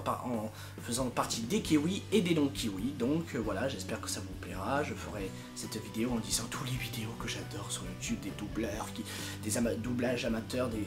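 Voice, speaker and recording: Halting, casual man over music. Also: medium pitch. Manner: halting, rambling, informal. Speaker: amateur fandub project leader and YouTuber. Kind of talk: casual, unscripted video update to followers.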